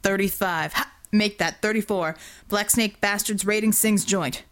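A woman voicing a gruff, sardonic male combat operative in an audiobook, rumbling out curt, blunt reports. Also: measured pace, fairly bright tone, natural voice. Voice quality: low rumbling.

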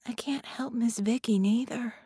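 A woman's voice: fairly high pitch, normal speed, warm tone, slightly rough, no room sound, studio quality, pitched above the performer's own voice. A woman voicing an earnest, sad little girl with a childlike, plaintive manner in an audiobook. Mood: sad, helpless. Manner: childlike, plaintive, simple grammar.

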